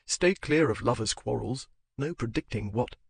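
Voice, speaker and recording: Reader putting on a muttering sardonic gentleman, a bit lower than narration, dry close sound. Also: animated, normal speed, gravelly, slightly rough, no room sound, heavily acted. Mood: grumbling. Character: weary, irritable gentleman woken at night.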